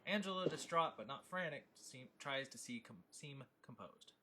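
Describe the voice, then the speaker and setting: A man's voice: medium pitch, animated, moderate pace, sharp tone, slight background noise. A man reading scene headings and stage directions as the narrator of a film script table read. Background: clear background music.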